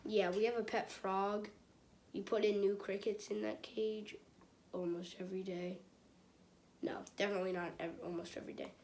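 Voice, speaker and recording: A woman reads aloud casually from a children's book, with some noise and clicking in the recording. Her reading stumbles here and there, and she slips into informal, exclamatory commentary. Her pitch sits in the middle range for a woman. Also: flat delivery, moderate pace, a little mellow. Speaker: amateur reader of a children's book. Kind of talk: casual read-aloud with chatty asides. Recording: clearly noisy home recording with clicks.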